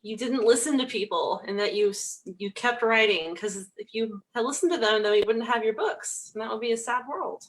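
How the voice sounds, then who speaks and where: A woman's voice: fairly high pitch, normal speed, hardly any pauses, low-quality recording. A woman librarian hosting a video chat, asking questions in an encouraging way. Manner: warm, encouraging interviewer. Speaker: librarian host. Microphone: video call.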